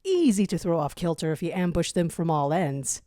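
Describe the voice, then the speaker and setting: A woman's voice: on the low side, big pitch swings, moderate pace, dark tone, dry recording, studio quality. A woman voicing a smug, scheming male jackal commander with a self-assured, grandiose manner in an audio story. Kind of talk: fan fiction audio story reading.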